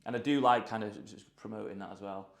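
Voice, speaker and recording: A man's voice: fairly low pitch, fairly even intonation, quick pace, gravelly, reverberant. A young man, a YouTuber guest chatting casually and filling with lots of "like". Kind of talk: casual podcast chat.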